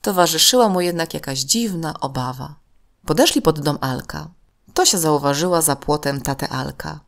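A woman narrating a children's story from a script in an expressive, clearly enunciated way. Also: low voice, moderate pace.

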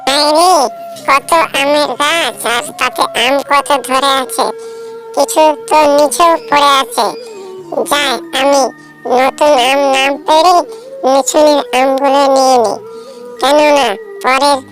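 A woman voicing a caring, anxious mother tailorbird in a children's cartoon fable, with drawn-out, pleading emphasis. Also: sits high, steady tone, fairly bright tone, faint music behind, rough recording. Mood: worried.

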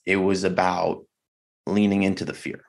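A man speaking candidly as a podcast guest over a video call, reflective and halting, with many fillers and restarts. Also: low-pitched, little pitch movement, gravelly. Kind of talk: candid conversation.

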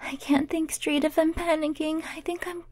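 Casting: woman to play shy, obsessive young female admirer for roleplay audio; soft mellow tone, jittery breathy delivery, very dry close sound. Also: sits high, steady tone, slow pace, no room sound, heavily acted. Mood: panicked. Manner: breathy murmuring, often dropping to a whisper; nervous, hesitant, stammering when flustered. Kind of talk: intimate roleplay audio acting, first-person monologue.

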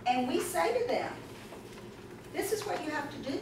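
A woman's voice: extremely high pitch, moderate pace. A woman presenting at a conference, talking in an emphatic, chatty way and taking questions from the audience. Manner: animated, emphatic, chatty with asides. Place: conference room, audience seated. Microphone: far camera in the audience. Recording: echoey room from far off, noisy.

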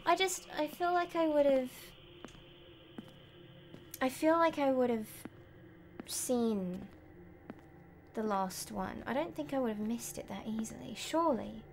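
A woman's voice: sits high, lively intonation, slow, creaky, long pauses, slight background noise. A middle-aged woman giving casual gameplay commentary, musing aloud to herself. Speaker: game streamer and voice actress. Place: indoor room, seated at a desk. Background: game music.

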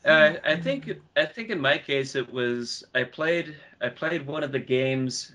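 A man's voice: a little high, lively intonation, a little slow, bright, forceful tone. A man chatting casually as a podcast panelist, hesitant and often repeating the start of his phrases. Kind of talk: casual film discussion.

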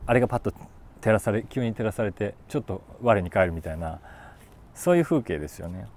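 Low-pitched man with very animated delivery. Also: fast talker, slight background noise. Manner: conversational, thinks aloud, animated emphasis. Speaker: YouTube host, voice actor. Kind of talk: casual book talk, reading short poems aloud and commenting. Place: outdoors on a city rooftop.

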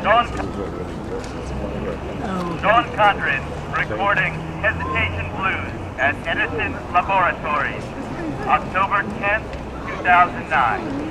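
A man's high voice comes out of an acoustic phonograph horn. He announces and sings blues slowly on wax cylinder, picked up from a distance. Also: animated. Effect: acoustic phonograph horn playback. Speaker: recording artist announcing himself and singing. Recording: wax cylinder playback heard from far off.

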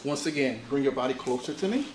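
Man, thirties, mid-pitched voice, coaxing chiropractor talk, casual instructions, clear background noise. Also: animated, quick pace. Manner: reassuring, coaxing. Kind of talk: casual instructions to a patient during treatment. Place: treatment studio with hard floor, indoors. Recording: clearly noisy room.

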